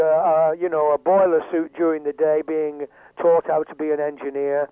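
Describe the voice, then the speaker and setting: A man's voice: on the high side, no echo. Older man, chatty, over a phone line. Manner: lively, chatty, showman patter. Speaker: veteran radio DJ guest. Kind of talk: casual interview storytelling. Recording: dry tinny phone line.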